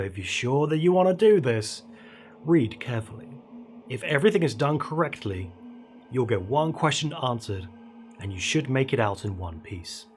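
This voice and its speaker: A man's voice: mid-range voice, a little hiss or hum. Ominous, dramatically swooping male horror narrator. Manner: theatrical, ominous, deliberate emphasis, dropping to hushed tones. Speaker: horror storyteller, show host. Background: dark ambient music.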